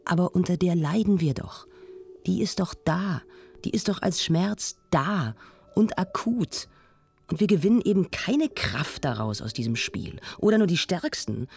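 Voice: medium pitch, expressive, unhurried, creaky, husky, heavily acted. An actor playing a searching, anguished intellectual discussant in a radio drama, probing and emphatic. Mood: despairing.

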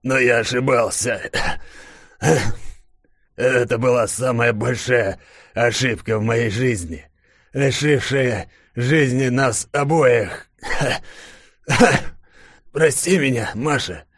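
Man acting a hoarse, dying spymaster father. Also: medium pitch, takes it slowly, long pauses, dry recording, studio quality, pitched above the performer's own voice. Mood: remorseful. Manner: earnest, dramatic. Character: dying spymaster father, commanding yet remorseful.

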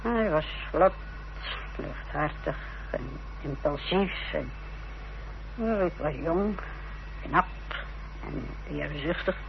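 An actress playing a proud, defiant middle-aged mother in a radio drama, speaking in a haughty, theatrical, deliberate way. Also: deep voice, animated, vocal fry, exaggerated voice. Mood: confiding, proud. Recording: dull, noisy old radio recording.

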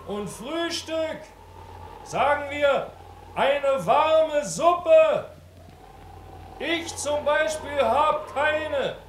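An actor playing a hungry, disillusioned soldier in a radio play, declaiming with bitter irony and emphasis. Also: male voice, very high voice, exaggerated voice. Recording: echoey, faintly noisy radio play.

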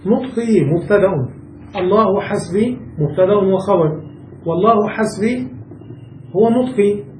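A man's voice: high voice, normal speed, darkish tone, echoey room, far from the mic, a little hiss or hum, poor audio. A man teaching a classical Arabic grammar lesson, explaining step by step and checking that students follow. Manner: patient, asks checking questions to students.

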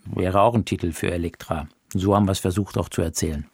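A man speaks as a director, explaining things in casual interview style. His voice is fairly low with plenty of fry. The recording is very dry, without room sound. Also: fairly even intonation, fast talker, darkish tone, no echo. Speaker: radio drama director.

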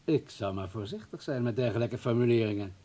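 An actor playing an officious, coldly polite party informer in a radio play, husky, measured and insinuating. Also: male voice, medium pitch, animated, warm tone, natural voice.

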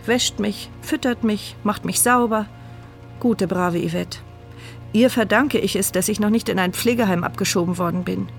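A woman's voice: mid-range voice, vocal fry, lightly acted. An actress voicing a paralysed, blind and mute woman in a radio drama, narrating her inner thoughts in a dry, sardonic, reflective way.

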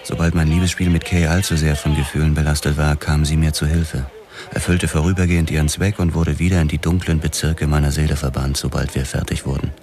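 Very deep-voiced haunted police officer. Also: male voice, level tone, brisk, darkish tone. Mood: haunted, grim. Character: hard-boiled 1940s American male police officer, haunted. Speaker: narrator. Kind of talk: first-person crime radio drama narration. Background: prominent music.

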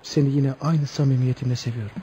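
Mellow, earnest young lover. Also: male voice, medium pitch, fairly even intonation, quick pace, breathy edge, lo-fi sound. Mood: tender, resolute. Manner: solemn, heartfelt. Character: earnest, brave young lover, wrongly condemned prisoner. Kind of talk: radio theatre acting.

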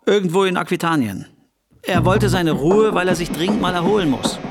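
A gruff, bullish man who dodges questions. His delivery is terse, brusque and dismissive. Loud guitar music runs under this radio play voice. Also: sits high, fairly quick. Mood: brusque, evasive. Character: gruff, bullish man, evasive bike repairman. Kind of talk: radio drama dialogue.

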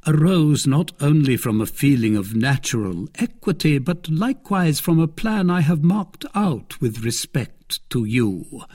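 A man voicing a shrewd, commanding cardinal in a novel, formal and measured, persuasive with a condescending edge. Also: on the high side, very animated, a little mellow, voice pushed up. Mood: grand, courteous. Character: grand, shrewd cardinal, commanding male statesman, persuasive and disdainful.

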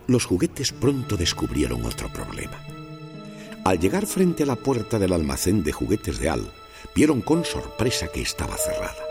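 A man narrating a children's storybook with expressive storytelling emphasis. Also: deep voice. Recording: music playing under the voice.

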